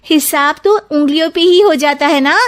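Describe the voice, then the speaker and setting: A woman's voice: high voice, moderate pace, pitched above the performer's own voice, lightly acted. A woman voicing a sarcastic, stubborn young daughter-in-law in a family story, with a taunting manner. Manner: sassy, taunting.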